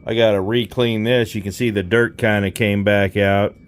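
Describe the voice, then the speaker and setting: A man hosting a repair vlog, talking casually and thinking aloud in an unhurried way as he demonstrates hands-on work. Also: lowish voice, steady tone, clear, resonant tone. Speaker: host of a radio repair vlog.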